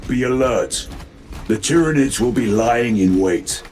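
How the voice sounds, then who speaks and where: An actor playing a stoic, battle-hardened space marine lieutenant in a video game, speaking in a formal, clipped, commanding manner. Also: male voice, mid-range voice, lively intonation, measured pace, a little mellow, a little hiss or hum, close to an everyday voice. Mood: stern calm.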